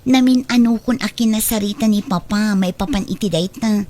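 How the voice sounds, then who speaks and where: An actress with an Ilocano accent playing a pampered, scheming young daughter who talks in a chummy, stubborn way in a radio drama. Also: female voice, mid-range voice, moderate pace, warm tone, lightly acted. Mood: stubborn, conspiring. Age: young adult.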